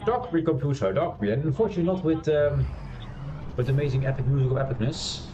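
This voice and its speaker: A man streaming a space game, chatting loosely with many fillers and restarts, laughing now and then. He has a loud voice. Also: mid-range voice, expressive, fast talker, lo-fi sound, background noise. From Europe. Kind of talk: casual gameplay commentary. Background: quiet game music.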